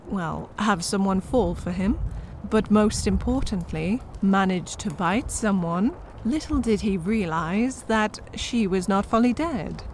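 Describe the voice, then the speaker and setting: A woman's voice: medium pitch, a little hiss or hum. A woman narrating scripted comedy horror, with wry, exasperated asides to the listeners.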